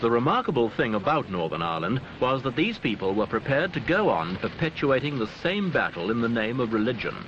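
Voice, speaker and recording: Male newsreel narrator, animated pitch, formal and dramatic phrasing, dull noisy archival soundtrack. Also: fairly quick, hardly any pauses. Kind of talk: scripted documentary newsreel narration.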